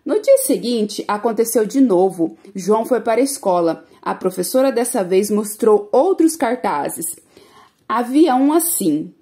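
Woman reader, children's picture book, lively expressive, warm tone. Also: a little high, a little slow. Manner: gentle, expressive storytelling.

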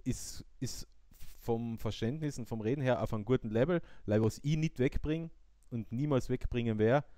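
A man with an Austrian accent chatting casually as a podcast co-host, halting and repeating himself as he searches for words. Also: medium pitch, mellow, no room sound, clean studio sound. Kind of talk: casual chat.

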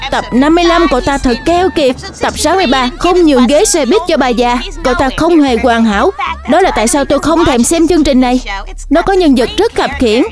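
An excitable, indignant cartoon character acted by a woman, speaking in a very high voice. The lines are dubbed for a children's superhero show, with music clearly playing behind them. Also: fairly quick, lightly acted. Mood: scornful, indignant.

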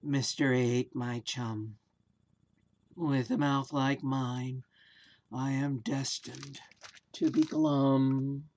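A woman voicing a gloomy, sulking male fish in a storybook, with a slow, drawn-out, mopey delivery. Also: extremely low pitch, fairly even intonation, a little mellow, a little hiss or hum, voice pushed down, full character voice. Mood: glum, resigned.

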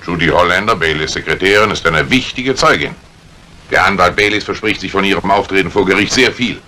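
A matter-of-fact investigator, very low in pitch yet forceful and bright. It is vintage radio mystery acting with some background noise. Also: male voice, fairly quick. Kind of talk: retro German radio crime drama acting. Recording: noisy old radio recording.

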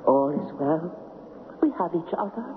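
A woman's voice: low voice, lively intonation, normal speed, slight background noise. An actress playing an earnest, tender young woman in love, dramatic in a 1970s radio play. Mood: tender pleading. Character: young woman dreamer and tender, pleading royal lover. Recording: very dull, phone-like old radio recording.

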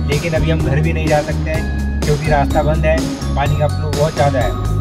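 A man narrating a vlog as he drives, chatty and pointing things out to viewers, mixing English phrases into his talk. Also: on the high side. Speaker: vlogger. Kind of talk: casual narration while driving. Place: inside a car.

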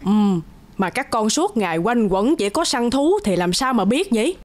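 A woman voicing a huge old giantess mother in a cartoon, gruff but kindly, with a commanding, matter-of-fact manner. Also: a little high, sing-song, a little slow, darkish tone. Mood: dismissive, sly.

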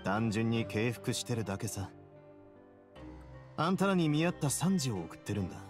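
A male actor playing a composed, confident prison boss in a Japanese game, speaking in a measured, dryly courteous way. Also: mid-range voice, very animated, lightly acted. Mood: composed, sincere. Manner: measured, cool, casually self-assured. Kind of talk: Japanese anime game voice acting. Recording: studio voice over game music.

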